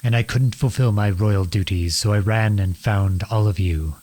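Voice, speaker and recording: A man playing a noble runaway outlaw prince in a dramatic audio roleplay, earnest and imploring, with a husky voice. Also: lowish voice, normal speed, a little mellow, natural voice. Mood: confessional, remorseful. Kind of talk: dramatic ASMR roleplay acting.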